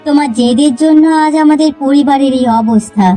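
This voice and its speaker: A woman's voice: high-pitched, normal speed, mellow, soft background music, lightly acted. A woman playing an earnest, worried woman of a village household, imploring in a melodramatic cartoon style. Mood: reproachful.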